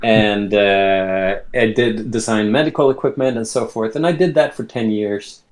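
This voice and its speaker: A man in his late thirties from Sweden, telling his life story as an interview guest in an unhurried way, often restarting his sentences. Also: lowish voice. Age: late 30s. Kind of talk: casual conversation.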